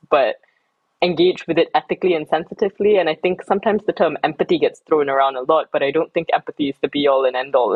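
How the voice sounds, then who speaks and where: A woman from Singapore talks through her research as an interview guest. She is reflective and academic, often pausing with ums and restarting sentences. The recording is slightly dull and dry. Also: sits low, slightly rough, no room sound.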